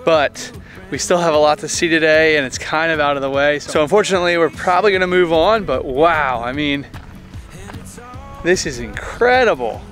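A man's voice: fairly high pitch, moderate pace, fairly bright tone, soft background music. A young man casually chatting to camera as a travel vlog host, laid-back and enthusiastic with informal slang.

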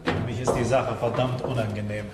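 An actor playing a weary, matter-of-fact head lighthouse keeper in a German radio crime drama. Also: male voice, medium pitch, little pitch movement, a little fast, heavy background noise, lightly acted. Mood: weary, irritated.

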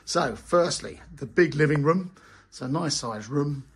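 A hoarse man, an estate agent chatting informally through a walking tour of a house, with fillers and restarts. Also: fairly high pitch, lively intonation, unhurried, background noise. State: hoarse, lost his voice. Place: outdoors in a cul-de-sac, then inside a bungalow and garden.

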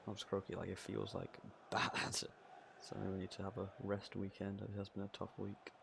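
Man, croaky gravelly voice, lowish pitch, casual game commentary, noisy background. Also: normal speed. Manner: excitable, swears and laughs at own play. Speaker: gaming channel host.

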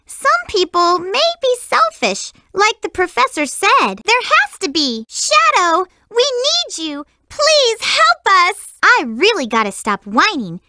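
A woman voicing a cute, energetic young girl hedgehog for a video game, sweet but feisty and lovestruck, with a perky, emphatic girlish delivery. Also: very high voice, takes it slowly, few pauses, no room sound, studio quality, full character voice. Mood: pleading, then concerned.

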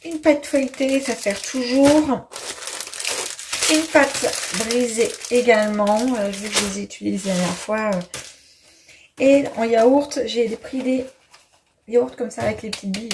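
A woman in her twenties chatting casually through a grocery haul in her home kitchen, unhurried, with many fillers and hesitations. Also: on the high side, background noise. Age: 20s. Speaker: vlogger. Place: bright home kitchen, indoors.

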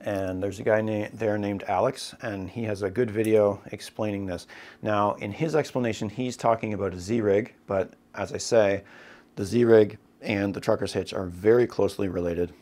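Man, somewhat low voice, explanatory, step-by-step, climbing lesson. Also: a little mellow. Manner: explanatory, step-by-step, frequent "right?" checks. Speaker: climbing instructor. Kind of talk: tabletop demonstration lesson.

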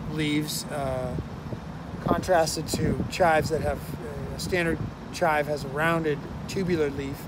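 A middle-aged man giving a casual, enthusiastic garden tour outdoors on a rooftop, explaining plants with plenty of fillers. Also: a little high. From United States. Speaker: garden educator. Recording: outdoor city noise.

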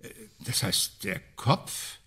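An actor playing a probing, sceptical male amateur detective in a radio crime drama, terse and questioning, with a gravelly, husky voice. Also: slow pace, sharp tone.

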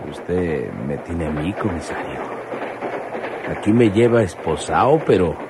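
An actor playing a brazen bandit prisoner in a Mexican radio soap, taunting and sneering with a Mexican accent. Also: male voice, animated, a little slow. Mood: mocking. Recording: noisy old radio drama, rumbling vehicle.

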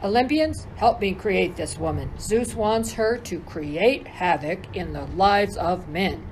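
A woman's voice: mid-range voice, animated, takes it slowly, darkish tone, low-quality recording. A woman playing a practical blacksmith god in an amateur radio play. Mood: businesslike. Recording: faint background noise.

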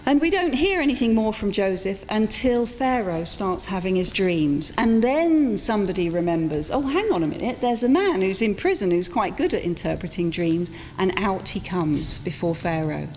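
A middle-aged woman giving an informal, reflective sermon in a conversational storytelling style, with pauses for emphasis. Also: medium pitch, expressive, darkish tone. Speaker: preacher. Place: church interior, speaking to a congregation. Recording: echoey church hall with background noise.

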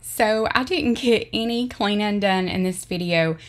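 A woman's voice: mid-range voice, unhurried. A middle-aged woman with a Southern American accent, earnestly narrating her home vlog and reading a devotional. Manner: earnest, heartfelt, plain-spoken. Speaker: vlogger.

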